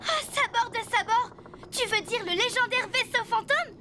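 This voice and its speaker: Sweet, very high-pitched child pirate. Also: female voice, normal speed. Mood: curious. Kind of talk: animated cartoon dub. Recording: music behind the voice.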